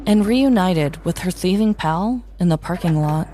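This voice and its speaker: A woman's voice: on the low side, lively intonation, moderate pace, warm tone, slight background noise. A woman hosting a podcast, narrating a scripted story in a descriptive, mock-dramatic way. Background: music.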